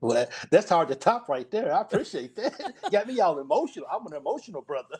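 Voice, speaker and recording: A man's voice: sits high, big pitch swings, brisk, a little mellow, breathy edge. A middle-aged man from Kentucky, chatting casually as a podcast guest in a folksy, repetitive, emphatic way. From Kentucky, United States. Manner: folksy, repeats phrases for emphasis. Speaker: podcast guest, sports fan show host. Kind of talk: casual chat.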